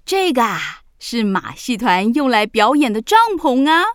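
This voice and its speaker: A woman's voice: on the high side, very animated, moderate pace, clean tone, dry recording, clean studio sound. A woman voicing a carefree, doting witch aunt with a playful, exaggerated way of talking, in a children's radio play. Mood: breezy, reassuring. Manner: exaggerated, teasing.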